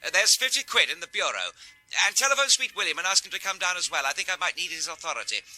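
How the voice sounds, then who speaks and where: An actor with a British accent playing a breezy gentleman adventurer in a tongue-in-cheek period detective drama. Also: sits high, very animated, quick pace, soft background music, heavily acted, rough recording. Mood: breezy urgency. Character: breezy fast-talking gentleman adventurer, cheerful under trouble.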